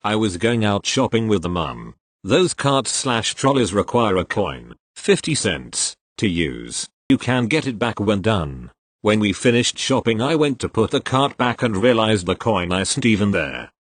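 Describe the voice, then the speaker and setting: A man reading online posts aloud as the regular reader of the channel, in an expressive storytelling way. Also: fairly low pitch, a little slow, no room sound.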